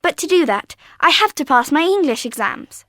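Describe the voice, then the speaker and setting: A woman's voice: high-pitched, animated, normal speed, fairly bright tone, no echo, studio quality. A woman voicing a studious Singaporean pupil. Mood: determined. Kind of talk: scripted textbook monologue.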